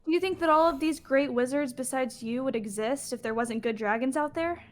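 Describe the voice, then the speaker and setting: Woman playing high-voiced outspoken mage. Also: fairly quick, natural voice. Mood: indignant. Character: outspoken, hopeful young woman mage defending dragons. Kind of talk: improvised Minecraft roleplay acting.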